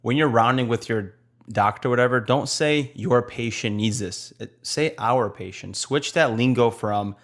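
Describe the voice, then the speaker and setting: A man with a Polish accent talks shop on a nursing podcast, easygoing and frank. His voice sits at a middle pitch, and he drags out key phrases for emphasis. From Poland. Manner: relaxed, blunt, slows down to stress points. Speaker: nurse podcast co-host. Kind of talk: casual podcast chat.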